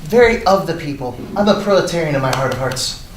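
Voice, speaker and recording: A woman acting a gloomy, lazy slacker with languid, mock-pompous deadpan wit in a staged reading. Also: sits low, a little fast. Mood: languid gloom. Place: live staged reading in a room. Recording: echoey room, faint background noise.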